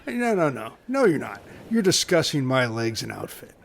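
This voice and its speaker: Laddish, easily offended island castaway played by a man, lively intonation, casual, faint noise, comedy radio play. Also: mid-range voice, moderate pace, gravelly. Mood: indignant. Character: touchy, laddish male castaway teased for his outfit.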